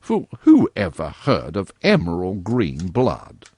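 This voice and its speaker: A man's voice: low-pitched, wildly swooping pitch, slow, a little mellow, breathy edge, no echo, studio quality, full character voice. A man voicing an earnest, primly stern young girl in a storybook reading, with a scolding manner.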